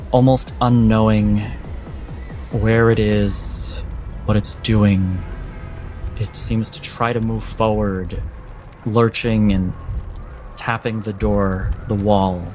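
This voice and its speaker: A low male voice, very unhurried, with music playing clearly behind it. He is a game master narrating horror in a deliberate, suspenseful way, breaking sentences into short dramatic pieces. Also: fairly even intonation, a little mellow. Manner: deliberate, suspenseful, with broken phrasing.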